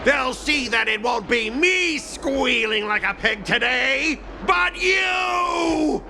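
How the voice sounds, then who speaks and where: A very high-pitched, petty male villain from a video game, pompous and theatrical. His delivery swings wildly up and down and bursts into shouts. Clear noise sits behind the voice. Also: expressive, slow pace, exaggerated voice. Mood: indignant, boastful. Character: petty blustering male villain, a sore loser. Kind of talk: video game voice acting, melodramatic villain monologue.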